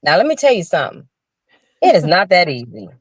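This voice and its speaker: A woman's voice: on the low side, wildly swooping pitch, a little fast, husky, no echo, studio quality. Earnest older woman telling her story. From Chicago. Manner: earnest, storytelling, frequent restarts. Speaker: restaurant owner and guest. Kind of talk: casual interview talk.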